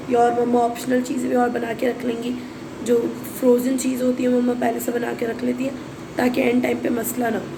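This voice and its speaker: A young woman from Karachi, Pakistan, with a hoarse, sore throat, chatting casually and rambling in a home vlog. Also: fairly high pitch. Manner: rambling, chatty, mixes in English. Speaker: vlogger and schoolteacher. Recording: noisy background hum.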